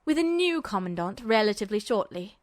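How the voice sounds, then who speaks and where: A woman playing a calm, polite, calculating male army officer with quiet menace in a story reading. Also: mid-range voice, a little fast, no echo, voice pushed down, natural voice. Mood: cool menace.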